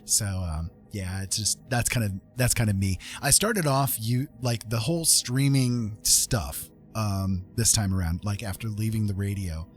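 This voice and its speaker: Low-voiced man streaming, music behind. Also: unhurried, hardly any pauses. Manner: rambling, easygoing, informal. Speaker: live streamer; former radio host. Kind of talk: casual livestream chat with viewers. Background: music playing.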